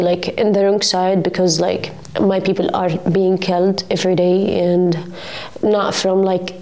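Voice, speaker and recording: A woman's voice: on the low side, darkish tone. A young woman in her twenties from Gaza, speaking English, answering earnestly with frequent fillers. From Gaza, Palestine. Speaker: student. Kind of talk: interview answers.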